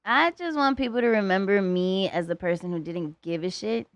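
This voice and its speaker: A young adult woman talking as a podcast guest in casual chat. She is blunt and profane, fills her talk with "like", and jumps into lively voiced quotes. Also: medium pitch, moderate pace, no room sound. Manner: blunt and profane, heavy use of "like"; animated, slips into voiced quotes.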